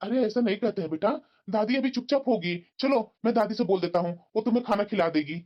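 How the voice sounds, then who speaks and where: A woman's voice: mid-range voice, fast talker, lightly acted. A woman playing a soothing young father. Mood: coaxing. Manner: soothing and reassuring. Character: gentle young father and husband. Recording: dull lo-fi recording.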